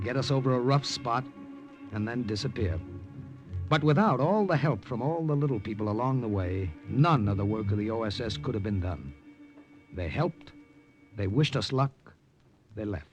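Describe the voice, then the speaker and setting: An actor playing a matter-of-fact American secret agent in a radio drama, with an American accent and casual delivery. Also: male voice, mid-range voice, expressive, moderate pace, faint music behind, natural voice, low-quality recording. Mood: reflective. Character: plain-spoken American secret agent behind enemy lines. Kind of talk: 1950s radio drama acting and narration.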